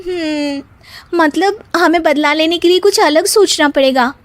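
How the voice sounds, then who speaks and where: A woman voicing a young daughter-in-law in a cartoon, shrill and whiny, easily frightened. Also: a little slow. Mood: scheming. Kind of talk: cartoon voice acting.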